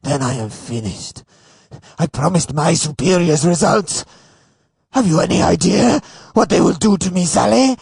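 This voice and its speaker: A man's voice: high-pitched, moderate pace, vocal fry, darkish tone, husky, no echo, studio quality, full character voice. A man playing a bombastic, insult-hurling Iraqi official in a radio farce, with an exaggerated mock Iraqi accent and florid, theatrical delivery. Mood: fearful, desperate. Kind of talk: satirical radio sketch acting.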